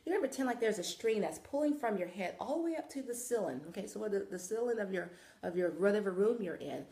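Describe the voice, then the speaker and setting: Woman, yoga instructor, gravelly, sniffly, encouraging, echoey room. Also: mid-range voice, expressive, fast talker, warm tone. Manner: encouraging, conversational with frequent okays. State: sniffling after nose surgery.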